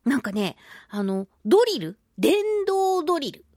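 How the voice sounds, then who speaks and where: Woman chatting in a high, animated voice. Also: unhurried, no room sound, studio quality. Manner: giggly, chatty, playfully self-narrating in mock-polite phrases. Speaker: radio show host and voice actress. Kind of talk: casual chatty solo radio talk.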